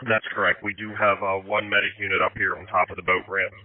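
A man's voice: low voice, level tone, fast, no echo. A man acting as fire incident commander, giving procedural, directive instructions over emergency radio. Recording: tinny, narrow two-way radio.

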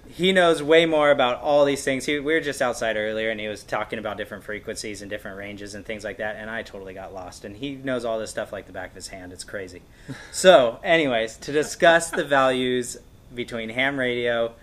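A man's voice: medium pitch, brisk, a bit bright. An American man in his thirties, speaking casually as a host introducing things and chatting with a guest. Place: indoors on a couch in a workshop room.

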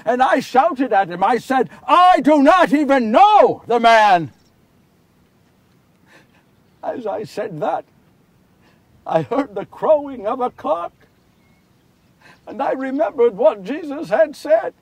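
Recorded from some distance, a man acts out a remorseful fisherman disciple in a first-person biblical stage monologue. His voice sits high for a man and has a husky grain. The delivery is earnest, confessional and theatrical, that of an anguished follower owning up to his failings. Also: expressive, measured pace, long pauses, no echo, heavily acted. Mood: anguished, loud. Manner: earnest, confessional, theatrical, swinging from hushed to shouting. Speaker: solo storyteller actor. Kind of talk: first-person dramatic monologue of a Bible story. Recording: distant microphone.

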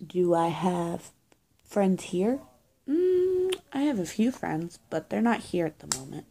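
A young woman plays a friendly teenage good witch in a homemade roleplay. Her voice is husky and quite creaky, with a mellow, muted tone. She talks casually and haltingly, straight to the listener, often sinking to a near-whisper. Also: fairly low pitch, sing-song. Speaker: roleplay video creator. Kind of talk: soft-spoken improvised roleplay, addressing the viewer. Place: small indoor room, plain walls. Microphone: phone or webcam at arm's length.

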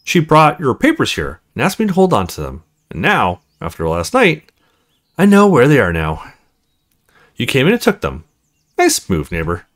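A man voicing a cool, confiding married female neighbor from a visual novel, reading her dialogue expressively and in measured phrases. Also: mid-range voice, huge pitch swings. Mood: confiding, frank.

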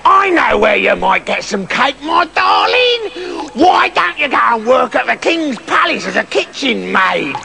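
A man's voice: very high-pitched, big pitch swings, measured pace, gravelly, few pauses, voice pushed up, exaggerated voice. A man doing a gushing, doting beggar husband. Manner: gushing, eager, loud.

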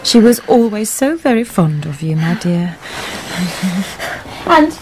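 A woman's voice: mid-range voice, sing-song, takes it slowly, noisy background. A woman playing a genteel, scheming stepmother in a radio drama, coaxing with false tenderness. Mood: coaxing, false sweetness.

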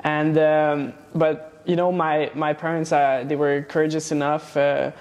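A young man from Romania, a guest in a studio interview, talking casually with many pauses and restarts. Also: on the high side, little pitch movement, a little mellow. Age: young adult. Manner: hesitant, many fillers and restarts. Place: studio set with plant wall and neon sign. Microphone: lapel mic.

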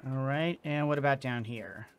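A man's voice: medium pitch, moderate pace, smooth. A middle-aged man giving casual gameplay commentary, thinking aloud as he goes. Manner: thinking aloud, muttering to himself. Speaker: let's play host. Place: small indoor home room. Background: game music.

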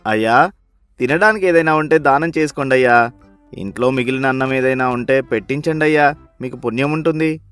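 A man's voice: mid-range voice, fairly quick, fairly bright tone, smooth, soft background music, natural voice. A man playing a humble, hungry beggar in a story, speaking in a pleading, deferential way.

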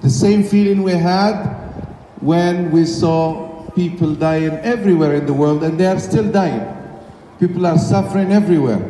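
A middle-aged man, an imam, giving an urgent, preacherly speech at a vigil, speaking into a handheld mic in a crowded outdoor city square. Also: sits high. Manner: urgent, preacherly, rises to shouts. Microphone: handheld mic through a PA, recorded from a phone in the crowd. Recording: echoey PA sound from a distance, crowd murmur.

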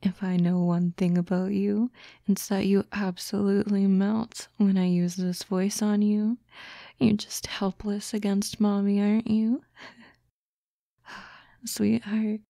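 Woman doing a mommy fox girlfriend asmr, breathy n cooing, bit of fry, really close dry mic. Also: mid-range voice, level tone, no echo. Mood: coaxing, teasing. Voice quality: soft, breathy, often whispering. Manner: slow, coaxing, cooing. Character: doting, teasing motherly fox-girl girlfriend, soothing and protective. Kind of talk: intimate ASMR roleplay. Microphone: close, dry microphone.

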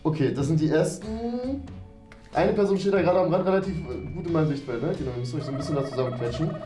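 A man's voice: a little high, lively intonation, fast talker, dark tone. A man in his twenties hosting a game video casually, thinking aloud as he plays. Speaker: game show host and player. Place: small decorated room indoors. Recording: roomy indoor echo, music underneath. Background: background music.

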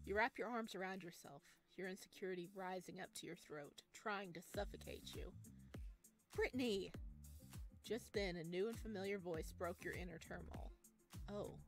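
A woman streaming a game, reading its story text aloud and adding casual commentary. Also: mid-range voice. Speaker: streamer playing a visual novel. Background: game music.

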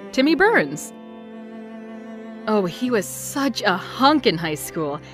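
Woman doing a wry, wistful young narrator with a lively, animated pitch. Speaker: narrator of her own past. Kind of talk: comic dub narration.

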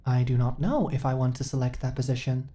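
A woman performs a female companion android for a sci-fi ASMR roleplay. The voice is low and soft-edged, with a mellow tone. She speaks in stilted, literal, formal phrases, earnest yet unsure of herself. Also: a little fast. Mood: flat, hesitant. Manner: stilted, formal, literal, emotionally flat delivery. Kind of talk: ASMR roleplay audio drama.

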